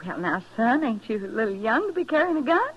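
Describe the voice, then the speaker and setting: A folksy American frontier wife minding a store, high in pitch and fretful. It is vintage radio western acting with a muffled recorded sound. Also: female voice, very animated, brisk, breathy edge. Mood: concerned.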